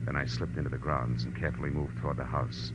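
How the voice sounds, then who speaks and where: An actor playing a tough, wry American private detective in 1940s radio style, narrating and trading punchy lines. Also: male voice, rumbling low, flat delivery, quick pace. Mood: wry, brisk. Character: wisecracking hard-boiled male private detective. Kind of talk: 1940s radio acting, first-person narration. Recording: muffled old radio broadcast with music.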